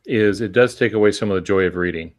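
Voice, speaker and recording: A man's voice: lowish voice, quick pace. An older man co-hosting a podcast, talking in a casual, conversational style. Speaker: podcast co-host and novelist. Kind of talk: casual chat.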